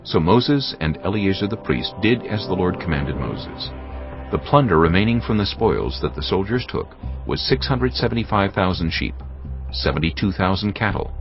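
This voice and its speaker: A man narrating scripture in a solemn, measured, scripted dramatic style. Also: low voice. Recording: dull audio under prominent music. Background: music.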